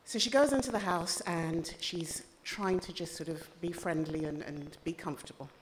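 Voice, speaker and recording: A woman's voice: fairly low pitch, normal speed, slight background noise, poor audio. A woman from Ghana, an author who chats informally and self-deprecatingly before reading aloud from her novel at a live literary event.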